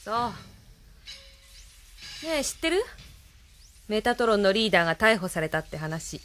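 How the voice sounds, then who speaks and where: An actress playing a calm female military companion in a Japanese sci-fi audio drama, measured and inquisitive. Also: medium pitch, soft background music. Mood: curious.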